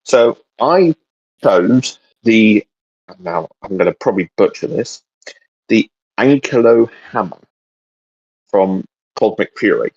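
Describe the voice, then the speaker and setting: A man's voice: on the low side, lively intonation, takes it slowly, gravelly, pauses often, a little mellow, breathy edge. A man co-hosting a casual fan discussion, speaking hesitantly as he searches for his words. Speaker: co-host. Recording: dull, lo-fi sound.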